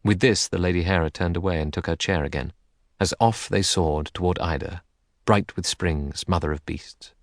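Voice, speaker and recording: A man with a very deep voice narrates an ancient epic in a dramatic literary style. The recording is close and dry, with no sense of a room. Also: moderate pace, dry recording. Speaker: audiobook narrator.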